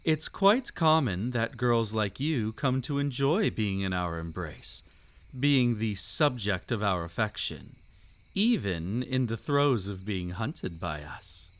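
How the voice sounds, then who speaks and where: Man playing a slow, seductive vampire count. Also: on the low side, animated. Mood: smug, enticing. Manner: velvety, taunting, theatrically aristocratic, drawn-out delivery. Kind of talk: scripted romantic roleplay audio, intimate monologue.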